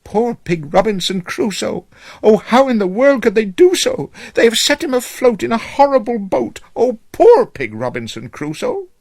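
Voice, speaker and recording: Man putting on a high, wailing little storybook pig. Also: very animated, moderate pace, gravelly, a little mellow, no echo, heavily acted. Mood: wailing, sung distress. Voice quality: nasal. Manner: sing-song, wailing. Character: distressed little male pig wailing a silly song.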